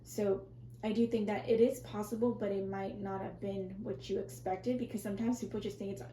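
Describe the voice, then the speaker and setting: A woman with a scratchy, strained voice reading tarot in a casual, rambling way, often correcting herself and speaking softly to viewers. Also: mid-range voice, fairly even intonation, a little fast, roomy sound. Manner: rambling, self-correcting, soft and reassuring. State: scratchy, strained voice, clearing her throat. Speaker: tarot reader.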